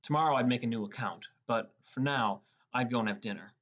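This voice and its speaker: A man's voice: mid-range voice, fairly quick, a bit bright, dull audio. A man reading a scripted first-person story as an audiobook narrator.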